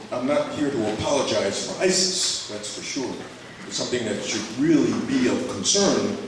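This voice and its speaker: A man, a law professor, giving a reflective conference lecture with many fillers and restarts. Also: mid-range voice. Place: conference hall. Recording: echoey hall, speaker far from the mic, noticeable background noise.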